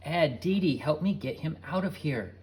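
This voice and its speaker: A man's voice: a little high, big pitch swings, a little slow, darkish tone, pitched above the performer's own voice. A man voicing a frazzled boy who scolds his unruly dogs. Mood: exasperated. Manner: exasperated, scolding.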